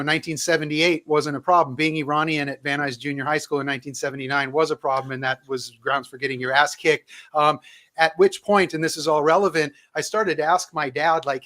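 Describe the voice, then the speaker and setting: A man's voice: a little high, pushed and bright. Hesitant man in his fifties. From Southern California. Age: 50s. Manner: hesitant, with many fillers. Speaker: guest historian. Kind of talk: conversational interview answers.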